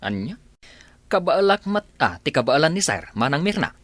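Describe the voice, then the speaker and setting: A man's voice: fairly high pitch, sing-song, normal speed, no room sound. A man with an Ilocano accent playing a cheeky, boastful driver in a radio comedy drama.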